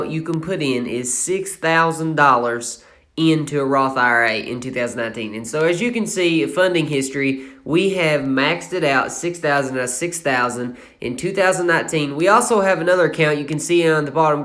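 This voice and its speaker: A man's voice: a little high, fairly bright tone. Young Appalachian man rambling about his investments. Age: 20s. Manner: rambling and casual, many fillers and self-corrections. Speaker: channel host. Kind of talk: casual screen-recorded finance vlog.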